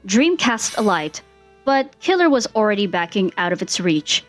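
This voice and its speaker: A woman's voice: mid-range voice, sing-song, moderate pace, faint music behind. A woman reading fan fiction aloud as the narrator, in a scripted storytelling style.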